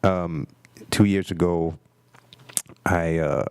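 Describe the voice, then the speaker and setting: A man's voice: deep voice, takes it slowly, creaky, darkish tone, smooth, no echo. A middle-aged man telling his own story candidly as a guest on a casual radio interview, often repeating words as he builds a point. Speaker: interview guest, fitness trainer.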